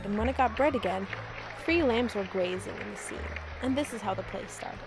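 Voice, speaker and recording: A woman's voice: medium pitch, brisk, a loud crowd, low-quality recording. A woman narrating a children's fairy tale as a storyteller, reading from a script. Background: music.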